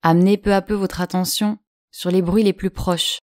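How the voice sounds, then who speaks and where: A woman guiding a yoga nidra relaxation in French, speaking slowly and calmly with long pauses. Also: mid-range voice, no room sound, studio quality. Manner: slow, calm, soothing delivery with long pauses. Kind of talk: guided relaxation instruction.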